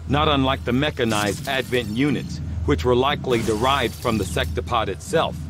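An actor playing a composed male scientist in a video game, speaking in a calm, formal, precise way. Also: medium pitch, moderate pace, noisy background, close to an everyday voice. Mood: explaining. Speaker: science officer. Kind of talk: video game cutscene acting.

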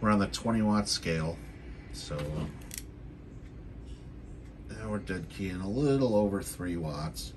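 A man's voice: low voice, normal speed, noisy background. A man giving a casual, unscripted, matter-of-fact product demonstration as the seller, on a handheld home video.

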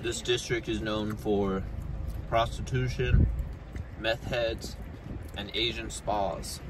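A man's voice: fairly low pitch, fairly even intonation, slow. A man in his forties doing a casual, joking food review from a parked car, filmed on a dashboard phone. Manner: joking, sarcastic asides. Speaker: food vlogger. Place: parked car, outdoors noise. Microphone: phone camera on dashboard. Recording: car with clear street noise.

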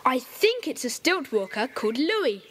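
A woman voicing a quick-thinking, eager young boy detective in an audio drama. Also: high-pitched, sing-song, fairly bright tone, husky, exaggerated voice.

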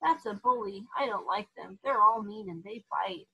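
A woman voicing a sad, gentle male pit bull in a children's storybook, speaking in a patient, subdued way. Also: mid-range voice, moderate pace, vocal fry, slightly rough, dull audio, exaggerated voice. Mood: hurt, sad.

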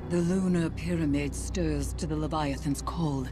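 Solemn game witch, low voice, cryptic, formal, loud music behind. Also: fairly even intonation, unhurried. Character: solemn, ominous witch guiding a soldier through haunted ship.

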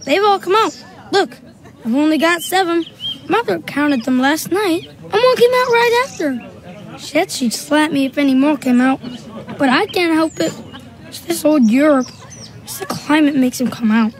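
A woman's voice: high-pitched, lively intonation, moderate pace, a faint crowd. An actress playing a brash, stubborn little American boy with an American accent in a radio drama. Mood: cheeky, insistent.

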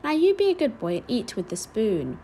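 A woman's voice: a little high, huge pitch swings, fairly quick, dark tone, slight background noise. A woman voicing a kindly old washerwoman who fusses and scolds in homely, colloquial speech. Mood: motherly, fussing.

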